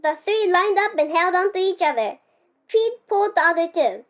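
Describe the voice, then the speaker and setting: A ten-year-old girl from Japan reading her own picture book aloud in an expressive storybook style. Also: extremely high pitch, moderate pace, muffled recording. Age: child, 10 years old.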